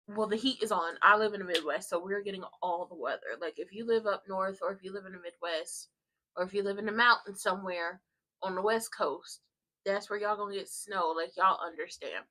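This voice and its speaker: A congested woman chats loosely and rambles to her live-stream audience from home. Her voice is bright and forward, and her tone stays casual and conversational throughout. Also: mid-range voice, moderate pace, rough recording. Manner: rambling and chatty, addresses viewers directly. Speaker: live-stream host of a soap watchalong.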